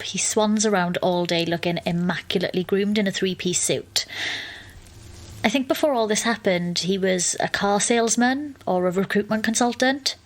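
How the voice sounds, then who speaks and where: A woman's voice: mid-range voice, lightly acted. Dry, wry young woman, played by an actress. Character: exasperated, wry young woman narrating as best friend. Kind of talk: audio drama narration and dialogue.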